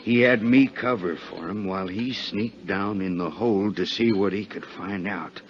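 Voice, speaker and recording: A man acting out a scared merchant sailor in a fifties radio play. His voice sits fairly low and his talk is rough and unschooled, the wary speech of a hard-drinking deckhand. The recording is dull and muffled with age. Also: unhurried, a little hiss or hum, natural voice. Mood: confiding, uneasy. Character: frightened, hard-drinking able seaman, ship's carpenter. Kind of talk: 1950s American radio drama acting.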